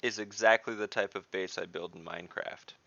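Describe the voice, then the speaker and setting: Male tabletop player, deep with a sharp gravelly edge, casual table talk over slightly muffled call audio. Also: steady tone, a little fast, no echo. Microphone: online voice call.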